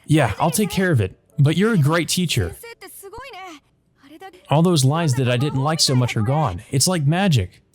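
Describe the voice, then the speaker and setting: A man's voice: on the high side, very animated, moderate pace, pitched above the performer's own voice. A man voicing an earnest, easily delighted young boy in a visual novel, with an exclaiming manner. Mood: grateful delight.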